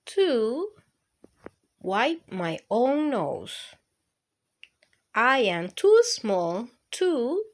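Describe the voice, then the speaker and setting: A woman hosting a children's storytime, reading picture books aloud. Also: medium pitch. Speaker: children's storytime host and reader. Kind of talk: read-aloud children's picture book narration.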